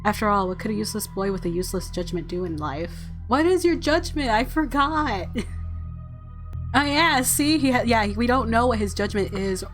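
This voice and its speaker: A woman's voice: a little high, moderate pace. Woman reading a game story over music. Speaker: gaming channel host reading a visual novel aloud. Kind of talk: expressive story narration with casual commentary.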